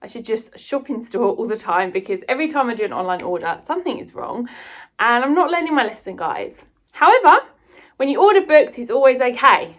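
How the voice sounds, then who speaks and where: A woman's voice: on the high side, big pitch swings, fairly quick. A British woman chatting casually and enthusiastically to viewers in a rambling book vlog. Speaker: book vlogger and writer.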